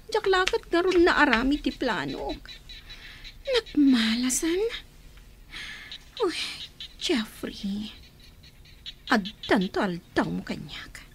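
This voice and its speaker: A woman in a radio drama voicing a bitter, scheming young woman who mutters to herself in a high, wavering voice. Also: big pitch swings, slow, long pauses, a little hiss or hum, full character voice. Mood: bitter longing.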